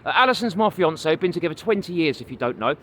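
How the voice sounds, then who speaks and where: A man's voice: on the high side, animated, fast, fairly bright tone. A man with a London accent talking casually to his camera while he walks, addressing viewers as guys, with lots of uh fillers and getting a little out of breath. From London, England. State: walking, gets out of breath. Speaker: vlogger and comedian. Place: outdoors on a busy city street. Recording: street noise and passing vehicles. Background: street traffic.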